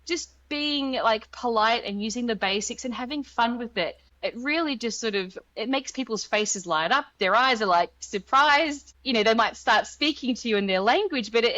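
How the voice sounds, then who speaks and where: A woman's voice: fairly high pitch, lively intonation, moderate pace, few pauses, pushed and bright, dry recording. Chatty Australian woman, slightly dull dry audio. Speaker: interview guest, language guide founder. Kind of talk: casual personal storytelling in an interview.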